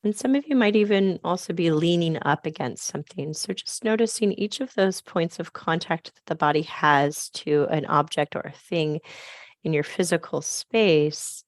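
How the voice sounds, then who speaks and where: A woman with a scratchy, raspy voice, softly leading a slow grounding meditation on a video call. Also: deep voice, no room sound, clean studio sound. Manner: soft, slow, gently inviting. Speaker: program facilitator.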